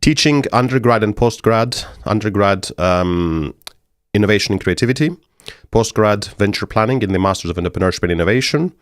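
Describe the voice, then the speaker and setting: A man from Greece, a university lecturer chatting as a podcast guest, measured and easygoing. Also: fairly low pitch, no echo, clean studio sound. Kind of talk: casual interview chat.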